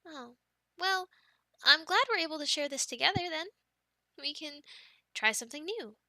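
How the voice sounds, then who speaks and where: A young woman voicing an affectionate, teasing girlfriend in an ASMR roleplay, speaking softly, giggling and dropping into whispers, close to the mic. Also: high voice, expressive, normal speed, dry recording, heavily acted. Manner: soft and giggly, drifting into whispers.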